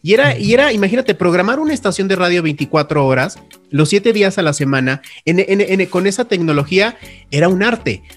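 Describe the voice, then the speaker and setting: A man's voice: sits high, expressive, a little fast, faint music behind. A man, a radio host speaking reflectively and earnestly in a casual panel chat, with many restarts.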